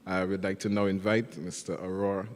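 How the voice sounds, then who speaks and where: Male emcee at a formal event, very deep voice, podium mic in a big reverberant hall. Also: little pitch movement, fairly quick. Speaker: master of ceremonies. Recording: long hall reverb, faint noise.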